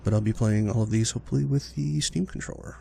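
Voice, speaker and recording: Gaming host, male, rambling casual commentary; gravelly, dark voice with a lingering cold. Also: fairly low pitch, quick pace, a little hiss or hum. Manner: rambling, filler-heavy, relaxed. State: recovering from illness, sniffly, clearing his throat. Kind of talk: casual let's-play commentary.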